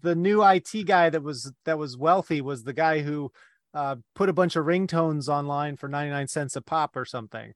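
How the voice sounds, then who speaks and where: A man's voice: a little high, moderate pace, no echo, studio quality. A man hosting an interview podcast, loose and joking, with plenty of fillers as he sets up questions. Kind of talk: casual interview.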